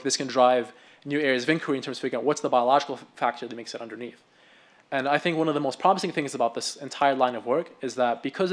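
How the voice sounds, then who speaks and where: Fast-talking man giving a research talk. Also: mid-range voice. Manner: quick, enthusiastic, with frequent restarts. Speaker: researcher presenting at a medical symposium. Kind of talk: conference talk.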